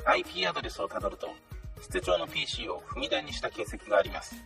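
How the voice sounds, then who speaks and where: Radio drama AI speaker: courteous, mechanical, bright-edged processed voice, heavily crackling, music behind. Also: male voice, a little high, huge pitch swings, quick pace, creaky, distant mic, exaggerated voice. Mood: formal, dutiful. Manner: formal, servile, mechanical system announcements. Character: polite, calm AI smart speaker confessing and reporting.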